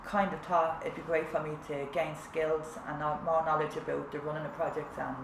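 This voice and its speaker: A woman's voice: deep voice, little pitch movement, brisk. A woman and mother of three, a peer support worker, chatting casually about her project work. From Ireland. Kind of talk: recorded testimony. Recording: echoey room with clear background noise.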